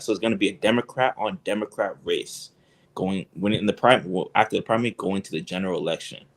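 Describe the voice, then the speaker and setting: Young man with a lowish voice, relaxed. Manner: relaxed, hesitant, with nervous laughs. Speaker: congressional candidate. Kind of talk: casual interview answers.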